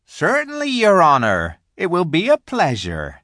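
A man's voice: a little high, huge pitch swings, a little slow, a bit bright, no room sound, studio quality, pitched above the performer's own voice, heavily acted. A man voicing a huge, good-natured storybook giant, humble and polite, with rustic, ungrammatical speech. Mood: eager, deferential.

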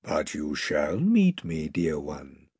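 A man voicing a huge, wise and gentle male lion who speaks softly and gravely. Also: low voice, very animated, slow pace, no room sound, studio quality, voice pushed down, full character voice. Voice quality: soft, hushed, grave.

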